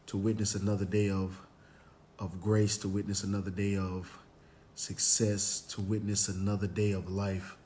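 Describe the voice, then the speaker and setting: Raspy upbeat man hosting a live stream. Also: lowish voice, a little mellow, slight background noise. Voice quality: raspy, hoarse. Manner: upbeat motivational, frequent "um" and "you know". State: hoarse from cheering at a game. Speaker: host of a Facebook Live motivational show. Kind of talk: live-stream talk, greeting viewers by name. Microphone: live stream, phone or webcam.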